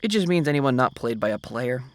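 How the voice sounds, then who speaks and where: A young man in an ASMR roleplay as a boyfriend, speaking in a gentle, reassuring, soft-spoken way close to the microphone. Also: mid-range voice, big pitch swings, fairly quick.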